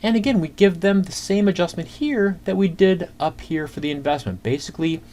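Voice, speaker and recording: A man giving an informal screencast accounting lesson, talking through calculations step by step in a conversational, halting way. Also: sits high, lively intonation, mellow. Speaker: accounting instructor. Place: indoors at a computer. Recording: clear background noise, keyboard typing.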